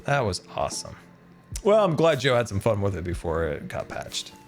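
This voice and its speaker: A man chatting casually on a game live stream, excitable and talkative, thanking his viewers as he plays. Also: lowish voice, normal speed, faint music behind.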